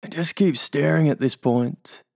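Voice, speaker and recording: A man's voice: on the high side, unhurried, creaky, a little mellow, no echo, studio quality. A man with an Australian accent leading a guided hypnosis induction, giving soothing suggestions and tag questions.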